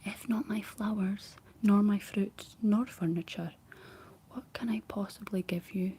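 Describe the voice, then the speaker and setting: A woman's voice: fairly even intonation, a little slow, dark tone, breathy edge. Woman whispering as an anxious kindly farm wife. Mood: afraid. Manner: whispered, higher and pleading.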